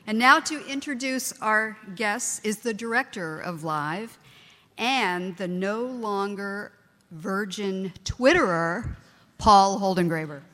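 A woman making housekeeping announcements before an event, in a plain, slightly halting way. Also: mid-range voice, bright, forceful tone. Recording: reverberant auditorium.

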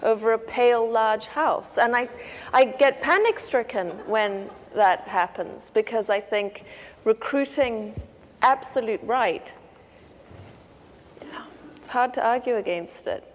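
A woman's voice: fairly high pitch, takes it slowly, slight background noise. A woman speaking on a panel, wry and hedging, with frequent "sort of" and "you know". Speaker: author on a panel. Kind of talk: panel discussion. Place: lecture hall. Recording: long hall reverb.

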